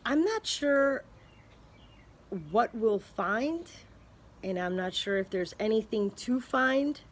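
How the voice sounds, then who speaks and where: A woman vlogger thinking aloud in a casual, earnest way, pausing and restarting as she speculates. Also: fairly high pitch, animated. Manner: unhurried, thinking aloud with many pauses and restarts. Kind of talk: casual spoken musing to camera.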